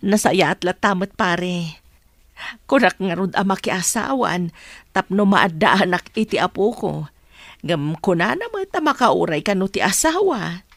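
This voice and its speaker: A woman playing a tender, worried middle-aged mother in a radio drama, with a gentle, plaintive delivery. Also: mid-range voice, lively intonation, a little slow, dry recording, clean studio sound. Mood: concerned.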